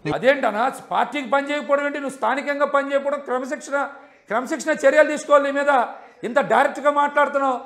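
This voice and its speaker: A combative man with an Andhra Telugu accent, arguing in a live televised debate and pressing his opponent with repeated questions. His voice is very high for a man and gravelly, and he talks quickly. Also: a bit bright. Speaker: politician on a debate panel.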